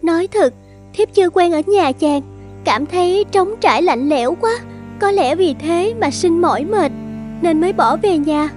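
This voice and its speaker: A woman voicing a gentle, pleading young ghost bride in an animated folktale, with polite old-fashioned speech. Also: very high voice, moderate pace. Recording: music under the voice.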